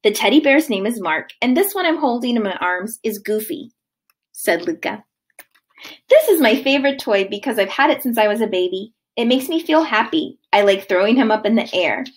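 A woman in her thirties, a kindergarten teacher, reading a children's book aloud with expressive, sing-song delivery. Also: on the high side, moderate pace. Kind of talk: read-aloud of a children's book to young kids. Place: living room at home, indoors.

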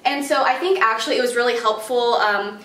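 Young woman, fairly high voice, upbeat, chatty, filler words, phone interview, home kitchen, distant camera, roomy. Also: a little fast, a bit bright. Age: young adult. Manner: upbeat and chatty, many fillers and little laughs. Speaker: job applicant in a phone interview. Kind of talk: answering interview questions on the phone. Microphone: far camera on a tripod while she talks on a phone.

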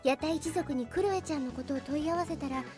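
A woman's voice: high-pitched, fast talker, lightly acted. A woman voicing a prim teenage little-sister type girl in an anime audio drama, with polite, formal speech. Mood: earnest. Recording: music behind the voice.

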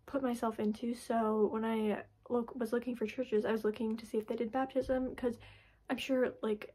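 Raspy-voiced young woman vlogging. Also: a little high, dark tone, poor audio. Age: young adult. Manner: rambling, lots of "like" and restarts. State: raspy from recent illness. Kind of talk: casual personal vlog. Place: bedroom, indoors. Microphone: camera in front at close range.

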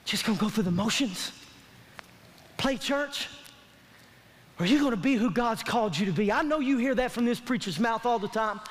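Very high-pitched preacher man in reverberant hall. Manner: animated, emphatic, repetitive, colloquial, calls for audience response. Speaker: pastor. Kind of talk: sermon preaching. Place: large church auditorium stage, indoors. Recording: long hall reverb from stage sound system.

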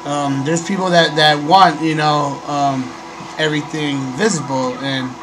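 A young man with a somewhat high voice talks hoarsely, still getting over a cold, into a desk microphone in a small bedroom. He fills his sentences with ums and keeps clearing his throat, and a steady hiss sits under the recording. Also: measured pace. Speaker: host. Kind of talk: casual webcam vlog. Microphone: desk microphone on a stand. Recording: noisy home recording.

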